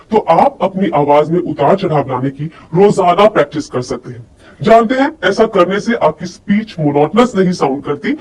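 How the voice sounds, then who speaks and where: A man's voice: high-pitched, a little mellow. A man giving an instructional lesson as a coach, explaining conversationally and putting questions to his viewers. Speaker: personality development coach. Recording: distant mic with faint background noise.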